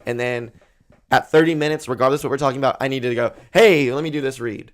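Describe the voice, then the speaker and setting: A man hosting a casual podcast, talking bluntly and swearing freely, with his voice getting hoarse. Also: medium pitch, quick pace. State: losing his voice. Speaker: podcast host. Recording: music bed behind the voice.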